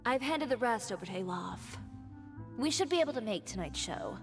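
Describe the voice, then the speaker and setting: A woman's voice: a little high, lively intonation, brisk, over music. A woman playing a poised, resolute fashion designer in a video game, composed and matter-of-fact. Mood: cool, resolute.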